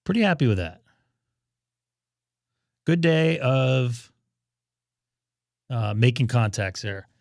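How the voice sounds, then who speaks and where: A man hosting a ham radio live stream, chatting and thinking aloud as he operates, his voice going hoarse. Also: mid-range voice, animated, slow pace, long pauses, dry recording, clean studio sound. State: losing his voice.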